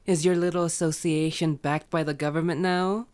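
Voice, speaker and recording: A woman's voice: low-pitched, fairly even intonation, normal speed, a little mellow, natural voice. A woman playing a sardonic, teasing, bloodthirsty female vampire in an ASMR roleplay, drawing out words and sometimes sinking toward a whisper. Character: teasing, unrepentant bloodthirsty female vampire, old yet youthful-sounding.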